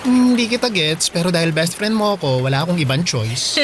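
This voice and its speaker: A woman's voice: lowish voice, sing-song, a little fast. A woman playing a teasing, sarcastic teenage best friend in a Filipino radio drama, bantering bluntly and exclaiming. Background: music.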